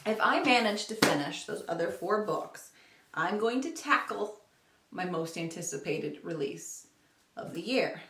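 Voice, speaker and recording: Animated woman chatting in an echoey room. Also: fairly low pitch, unhurried, slight background noise. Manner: chatty, rambling, self-correcting. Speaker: book vlogger. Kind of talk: casual book chat to camera. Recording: roomy, echoey home recording.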